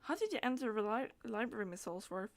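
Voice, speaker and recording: A woman's voice: on the high side, very animated, fast. A woman streaming a game, reading dialogue aloud and chatting as she plays, with stumbles and repeated words.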